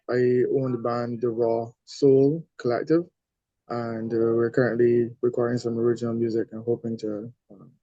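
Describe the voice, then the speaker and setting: A man's voice: medium pitch, mellow, no echo. A man, a trombonist and bandleader, introducing himself in an online meeting. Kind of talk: self-introduction. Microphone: video call. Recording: dull dry call audio.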